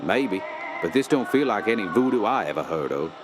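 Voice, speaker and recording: An actor playing an uneasy, plain-spoken police subordinate in a radio horror drama, terse and folksy. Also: male voice, fairly low pitch, sing-song, fairly quick, noisy background. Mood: uneasy, businesslike. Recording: music and outdoor effects behind.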